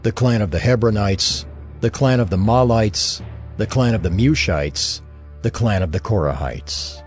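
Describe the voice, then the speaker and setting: A man's voice: fairly low pitch, measured pace, darkish tone, soft background music. A man proclaiming scripted scripture in a formal, declamatory manner, like an official reader. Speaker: list reader.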